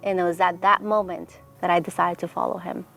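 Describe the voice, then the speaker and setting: A woman's voice: on the low side, flat delivery, fairly quick, gravelly, fairly bright tone. A young woman from Pakistan giving an earnest, reflective personal testimony. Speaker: testimony speaker. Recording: music bed underneath.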